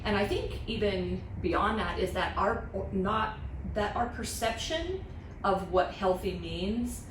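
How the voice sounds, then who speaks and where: A woman's voice: medium pitch, animated, takes it slowly, far from the mic. An American woman in her forties hosting a casual podcast, blunt and emphatic, swearing freely. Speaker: podcast host. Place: small office meeting room. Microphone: podcast mic on boom arm. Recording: roomy, off-mic sound, faint noise.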